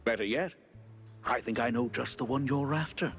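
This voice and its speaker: An actor playing a nervous, glad-handing cartoon politician who stammers and chuckles, in a 1930s-style game. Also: male voice, medium pitch, normal speed, a bit bright, faint music behind, close to an everyday voice. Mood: uneasy, helpful. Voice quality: creaky.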